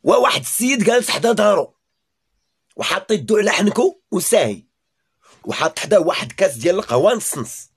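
A Moroccan-accented man tells short jokes with a husky voice and a fairly high pitch. His delivery swings up and down as he acts out the dialogue. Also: big pitch swings, fast, faint music behind. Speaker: joke teller, narrator. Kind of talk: animated comic storytelling of short jokes.

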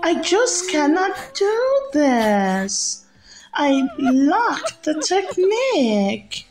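A woman's voice: high voice, wildly swooping pitch, very slow, reverberant, over music, pitched above the performer's own voice, full character voice. A woman voicing a flamboyant young female flamingo in a singsong, theatrical storybook manner. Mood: upset.

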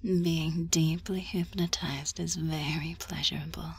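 Slow, breathy whispering woman. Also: deep voice, fairly even intonation. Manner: soothing, commanding, suggestive, with drawn-out pauses. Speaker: ASMR hypnosis guide. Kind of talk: whispered ASMR guided hypnosis script.

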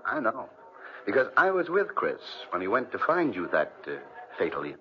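Extremely swooping, calculating blackmailer. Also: male voice, lowish voice, huge pitch swings. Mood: smug. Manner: suave, insinuating, deliberate phrasing. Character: smug, calculating male blackmailer. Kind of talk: 1940s radio drama acting. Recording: muffled, noisy 1940s radio recording.

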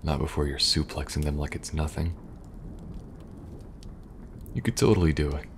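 A man playing a weary, kind young government agent in a soft-spoken, halting ASMR roleplay. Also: a bass voice, fairly even intonation, gravelly, slight background noise. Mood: weary, reminiscing. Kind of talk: intimate ASMR audio roleplay.

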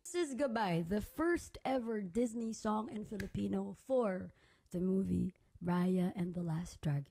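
A young woman singer calmly introducing her song in a clip played back from a video, sounding far off. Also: mid-range voice, measured pace, vocal fry, breathy edge, faint music behind.

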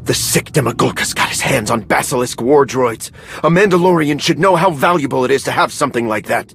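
A man's voice: mid-range voice, animated, a little fast, gravelly, fairly bright tone, breathy edge, exaggerated voice. A man playing an indignant, loyal soldier in a video game, speaking with urgent earnestness. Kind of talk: video game dramatic acting.

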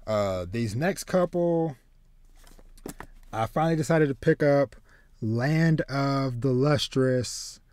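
A man in his thirties chatting casually as a podcast host, haltingly, often repeating words as he goes. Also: medium pitch, darkish tone, smooth. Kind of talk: casual chat about manga and anime. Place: small home room with bookshelves.

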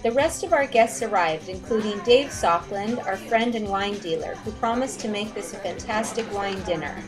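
A woman's voice: mid-range voice, moderate pace. A woman hosting a cooking show, narrating recipe steps as a scripted voice-over. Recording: music under the voice. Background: background music.

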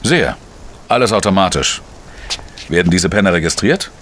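An actor playing a wry, world-weary journalist who narrates a radio crime drama in a measured, dry manner. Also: male voice, sits low, animated, a bit bright, slight background noise. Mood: laconic. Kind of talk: scripted first-person crime narration.

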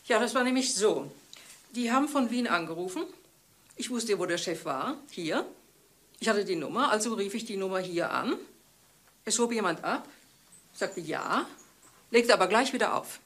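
A woman's voice: medium pitch, expressive, moderate pace, pauses often, fairly bright tone, lightly acted. A woman playing a dutiful police assistant in a German radio crime drama, reporting in an eager, matter-of-fact way. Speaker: actress in a radio crime play.

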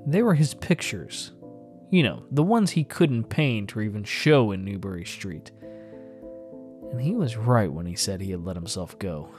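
A man's voice: on the low side, expressive, moderate pace, a little mellow, soft background music. A man narrating a classic horror story in a dramatic, literary audiobook style.

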